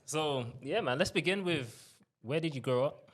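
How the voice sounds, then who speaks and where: A man hosting a casual interview podcast, upbeat and quick to laugh. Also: a little high, lively intonation, fairly bright tone. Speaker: podcast host.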